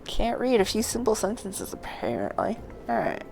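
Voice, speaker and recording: A woman's voice: on the high side, huge pitch swings, moderate pace, vocal fry, a little mellow, slight background noise, rough recording. A woman game streamer talking through a walkthrough, reading on-screen text aloud and muttering to herself in between.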